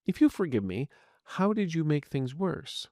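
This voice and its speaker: A man's voice: fairly high pitch, big pitch swings, moderate pace, warm tone, no echo, clean studio sound, pitched above the performer's own voice. A man voicing a polite, curious artificial intelligence medical agent in an audio story, speaking in formal, precise and courteous wording. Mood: polite, inquiring. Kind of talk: scripted audio fiction dialogue.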